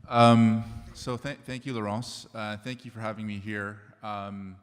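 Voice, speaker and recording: A man's voice: fairly low pitch, fairly even intonation, a little slow, big-room sound. A man, a union representative, speaking casually at a conference with a joking opening and "um" fillers. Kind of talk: conference presentation. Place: conference room. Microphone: room microphone.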